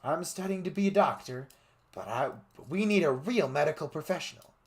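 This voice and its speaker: A man voicing an earnest young medical student, speaking a little higher than usual. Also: expressive, fairly quick.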